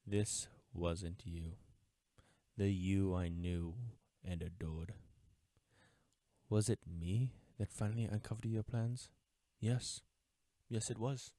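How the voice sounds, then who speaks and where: An actor playing an uptight government agent in an audio roleplay, speaking formally and deliberately, smug yet mourning a lost friend. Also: male voice, low voice, fairly even intonation, dark tone, no room sound, studio quality. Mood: wistful, intense. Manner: formal, deliberate, taunting with wistful undertones. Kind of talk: scripted audio roleplay drama, addressing the listener.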